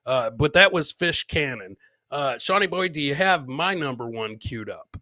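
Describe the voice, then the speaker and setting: A man's voice: medium pitch, animated, moderate pace, pushed and bright, no room sound. A man hosting a live comedy podcast, chatting informally with viewers and swearing casually. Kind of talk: casual live show chat.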